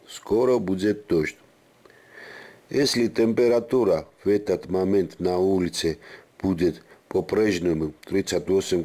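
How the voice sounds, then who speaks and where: A man with a very low, mellow voice narrates a how-to guide. He speaks as a tutorial presenter, giving step-by-step instruction in a dark, deep tone. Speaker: car repair tutorial presenter.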